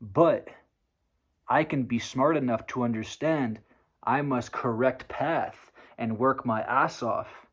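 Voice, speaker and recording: A man preaching hard work in a blunt, profane rant to the camera. His voice sounds sore and strained from talking. He is neither high nor low in pitch. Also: slow, fairly bright tone, slightly rough. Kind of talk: motivational rant vlog.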